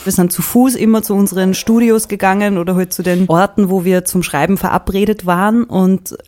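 A woman's voice: mid-range voice, steady tone, fairly quick, mellow. A woman with an Austrian accent, a singer-songwriter talking casually and reflectively about creative work.

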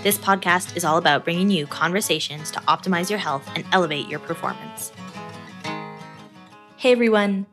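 A woman hosts a podcast in an upbeat, enthusiastic manner, her voice a little lower than most. She reads a polished introduction and then moves into a friendly interview. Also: normal speed, fairly bright tone, soft background music. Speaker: podcast host.

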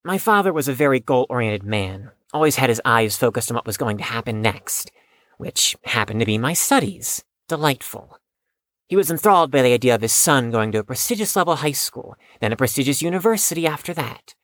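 A man's voice: mid-range voice, sing-song, a little fast, a bit bright, no room sound. A man playing a bitter, wounded young man with formal, precise wording and a defensive manner in an audio drama. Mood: wry, reserved.

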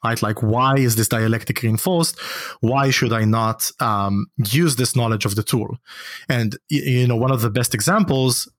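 A native Hebrew-speaking man in intellectual conversation, with a middle-pitched voice and a dry recording free of room sound. He talks discursively as a podcast guest, often tagging his points with a quick check for agreement. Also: no room sound.

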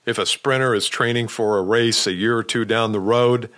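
A man's voice: lowish voice, fairly even intonation, moderate pace, fairly bright tone. A man hosting a podcast, coaching sales leaders with direct talk and rhetorical questions. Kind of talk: podcast monologue.

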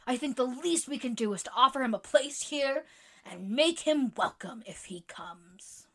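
A woman's voice: a little high, lively intonation, moderate pace, pushed and bright, exaggerated voice. A woman playing a boisterous tomboyish young woman who speaks in a hearty, theatrical, mock-formal way. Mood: earnest.

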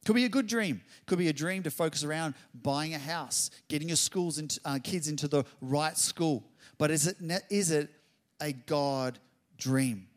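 A middle-aged man delivers a sermon in a slightly raised voice. He tells his story in a lively, conversational way. He then swells into loud, fervent exclamations. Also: a little high, moderate pace. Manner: animated, builds to loud exclamations. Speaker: pastor.

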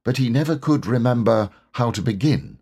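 A man reading a children's storybook aloud as an expressive, scripted narrator. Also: medium pitch, moderate pace, vocal fry, husky. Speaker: storybook reader.

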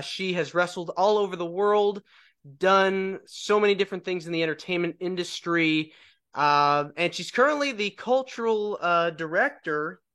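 A man's voice: high-pitched, a bit bright. A man hosting a podcast, introducing and questioning a guest in an upbeat, encouraging way. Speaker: podcast host. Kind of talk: podcast interview.

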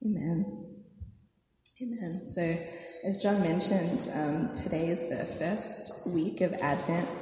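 A woman preacher with a croaky, hoarse voice from a sore throat, praying softly with many fillers, then reading scripture and preaching. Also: on the low side, takes it slowly. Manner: soft, hesitant prayer with many fillers. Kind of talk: sermon with prayer and scripture reading. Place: church hall. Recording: echoey hall, faint noise.

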